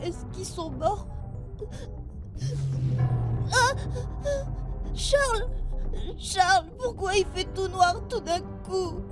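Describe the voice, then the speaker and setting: A woman playing an excitable, wide-eyed seven-year-old boy in a French sci-fi audio drama. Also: very high voice, extremely slow, long pauses, music in the background, heavily acted. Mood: frightened, pleading. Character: eager seven-year-old boy intern aboard a space crew.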